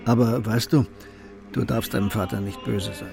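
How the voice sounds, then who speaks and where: An actor playing a wise, kindly older mentor in a radio play, calm and consoling as he explains. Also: on the low side, normal speed, gravelly, over music. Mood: gentle, grave.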